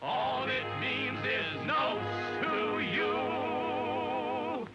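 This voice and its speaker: A man sings a 1930s novelty tune in a high voice. Loud band music plays behind him on a dull, old recording. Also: little pitch movement, very slow. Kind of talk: 1930s novelty popular song, sung.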